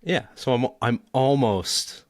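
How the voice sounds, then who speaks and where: A man's voice: medium pitch, slow pace, no echo, studio quality. A man in his thirties co-hosting a casual music podcast, talking in a rambling, enthusiastic way with many restarts. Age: 30s. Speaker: podcast co-host. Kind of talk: casual chat.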